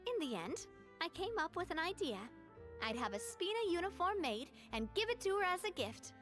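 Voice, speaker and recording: A woman's voice: very high-pitched, big pitch swings, normal speed, fairly bright tone, faint music behind, full character voice. A young woman voicing a friendly, assured gang leader in a video game, speaking earnestly.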